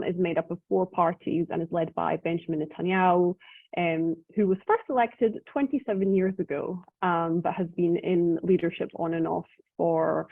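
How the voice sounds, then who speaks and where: A woman's voice: lowish voice, no room sound. A woman with a Scottish Israeli accent, giving an informal talk as a guest speaker, articulate and conversational, with frequent ums. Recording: tinny dry phone-like call audio.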